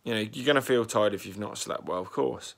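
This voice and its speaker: A young man with a fairly low voice talks through his worries in a casual vlog. He sounds bunged up and a bit raspy from a cold, and clears his throat now and then as he rambles anxiously. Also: fairly quick. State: congested and slightly raspy from a cold. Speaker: vlogger.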